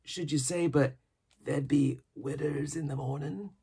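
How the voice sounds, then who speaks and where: Storybook castaway pirate, played by a male reader: old, sly, excitable, high husky voice, rambling and repeating himself in rustic sailor talk. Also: measured pace, exaggerated voice. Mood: anxious, insistent. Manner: emphatic, repetitive, rustic sailor's speech.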